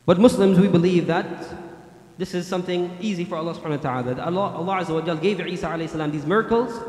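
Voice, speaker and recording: A man in his thirties preaches a Friday sermon at a fast clip with a fairly high voice. He stresses points by repeating them and breaks into rapid chanted Quranic recitation. The sound comes off a stand mic in a big mosque hall that rings with long reverb. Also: darkish tone. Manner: recites Quranic Arabic in a fast chant between English explanation; emphatic, repetitive preaching with gestures. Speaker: imam giving Friday sermon. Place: large mosque prayer hall.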